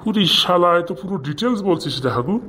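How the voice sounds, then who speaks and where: A man plays a comic cartoon schoolteacher from the village, crude and indulgent. His voice sits a bit high for a man and tumbles out very fast, full of bluster and repeats. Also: animated, bright, forceful tone, slight background noise. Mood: impressed, egging on.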